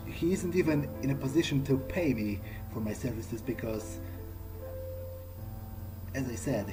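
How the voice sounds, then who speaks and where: A man roleplaying in character through a game, thinking aloud in a halting, musing way and repeating words. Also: mid-range voice, rough recording. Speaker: player in an in-character game playthrough. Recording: game music behind the voice.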